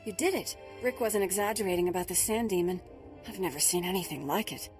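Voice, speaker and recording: A woman voicing an expressive, motherly scientist in a video game, warm but quick to scold. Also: lowish voice, faint music behind, natural voice. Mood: relieved, grateful.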